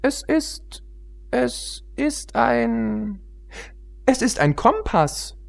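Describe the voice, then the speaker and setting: A man voicing an anxious, childlike young female pony in a storybook, with halting speech. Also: very animated, creaky, exaggerated voice. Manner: halting, squeaky-high.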